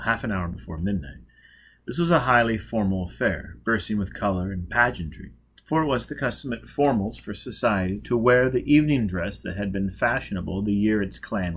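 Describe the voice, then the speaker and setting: A man's voice: on the low side, slightly rough. A man in his thirties reading a novel aloud as narrator. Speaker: reader, narrator. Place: indoors, before a dark curtain.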